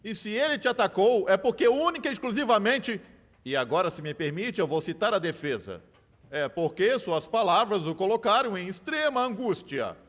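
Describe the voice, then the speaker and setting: An actor dubbing a sharp, persistent lawyer who cross-examines a witness with measured, theatrical questions. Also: male voice, high voice, expressive, in a large hall. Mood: probing. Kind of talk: dubbed film courtroom drama.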